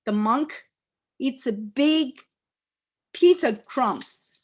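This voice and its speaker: Slow-reading poet, woman with a Chinese accent. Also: high-pitched, lively intonation, husky. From China. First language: Chinese dialect. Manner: slow, deliberate phrasing with pauses. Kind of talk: poetry reading. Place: live reading event.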